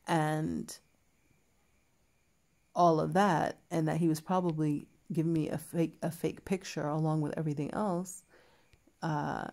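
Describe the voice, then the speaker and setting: A woman's voice: low-pitched, gravelly, dark tone. A woman telling a personal story to her viewers in a chatty way, full of fillers and restarts, acting out both sides of a conversation. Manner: chatty, many fillers and restarts, quotes others in dialogue. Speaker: vlogger. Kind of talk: casual storytelling to camera.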